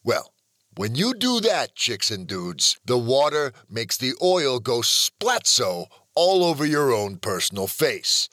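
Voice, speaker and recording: A man playing a brash, cocky, crude surfer-dude stuntman in a comedic promo, with swaggering, slangy, drawn-out emphasis. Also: mid-range voice, bright, forceful tone, no room sound, studio quality, heavily acted. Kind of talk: comedic in-character audiobook promo.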